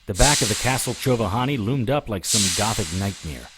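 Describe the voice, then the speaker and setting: A man's voice: fairly low pitch, expressive, brisk, loud music behind the voice, natural voice, poor audio. A man playing a wry, hard-boiled hero, narrating a scripted audio drama in the first person with dry sarcasm. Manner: dry, sardonic. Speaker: narrator and lead character.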